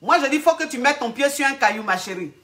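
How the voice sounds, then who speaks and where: A woman coach talks straight to her callers and keeps calling them darling. Her voice sits high and rings bright and clear. She sounds blunt but motherly, and firm when she makes a point. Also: lively intonation, fast. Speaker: relationship coach answering callers. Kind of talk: live call-in advice.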